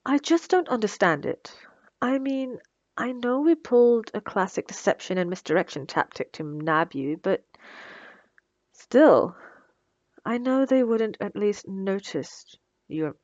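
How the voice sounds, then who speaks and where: A woman's voice: medium pitch, sing-song, measured pace, darkish tone, no room sound, dull audio. A woman playing a smug, sarcastic female supervillain who taunts her captive with theatrical condescension in an ASMR roleplay. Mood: puzzled, probing. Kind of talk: ASMR roleplay audio drama.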